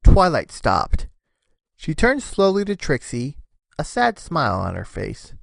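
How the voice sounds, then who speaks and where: Animated male storybook narrator, dry sound. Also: fairly high pitch, measured pace, vocal fry, no echo. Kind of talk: expressive scripted story narration.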